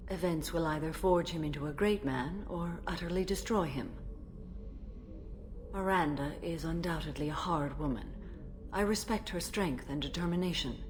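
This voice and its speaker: A woman playing an ancient, stern and serene alien warrior in a video game, speaking formally and with measured solemnity. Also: on the low side, a little mellow, close to an everyday voice. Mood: calm, respectful. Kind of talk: video game dialogue acting.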